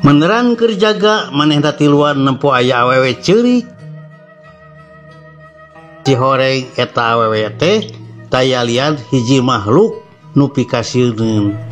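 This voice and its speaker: A man's voice: medium pitch, animated, takes it slowly, long pauses. A man with a Sundanese accent narrating a horror story dramatically as host, with music behind him. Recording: music under the voice. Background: background music.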